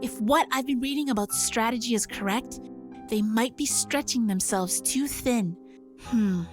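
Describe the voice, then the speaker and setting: A woman playing a stubborn yet vulnerable young mech pilot in an audio drama, speaking haltingly. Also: on the high side, soft background music, natural voice. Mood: thoughtful. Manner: halting, unsure.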